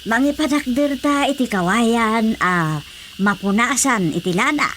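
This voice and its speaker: High-voiced, eager older village wife. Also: female voice, animated, unhurried, a bit bright, slight background noise. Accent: Ilocano. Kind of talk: radio drama comedy acting.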